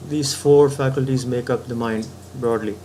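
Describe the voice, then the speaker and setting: A man's voice: mid-range voice, fairly even intonation, normal speed, dark tone, slight background noise. A man giving a patient philosophical talk, reasoning step by step and often checking in with the listener. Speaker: spiritual teacher.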